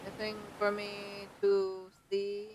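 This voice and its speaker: A woman with a medium-pitched voice streams a game with casual commentary. She is expressive and informal and swears freely. A little background noise sits under her voice. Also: takes it slowly. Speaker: gaming streamer. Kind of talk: casual let's-play commentary.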